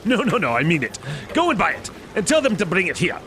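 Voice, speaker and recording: A man's voice: high voice, wildly swooping pitch, fast talker, bright, forceful tone, a little hiss or hum, exaggerated voice. A man playing an elderly reformed miser in an audio drama, theatrical, exclamatory and giddy with joy. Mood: excited, delighted. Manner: theatrical, exclamatory, bursting into laughter.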